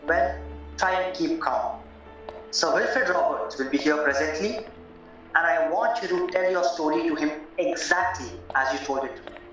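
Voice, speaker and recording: A man acting a courteous, formal solicitor in a radio play, deliberate and a little hesitant. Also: fairly high pitch, fairly bright tone, natural voice. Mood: calm, reassuring. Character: courteous, measured English male solicitor, formal and reassuring. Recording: roomy, distant, muffled, music underneath.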